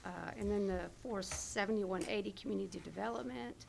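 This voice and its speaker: A hoarse woman presenting health department budget figures at a county committee meeting, with many fillers and self-corrections. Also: medium pitch, a little fast, very noisy. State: hoarse, losing her voice. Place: county government meeting room.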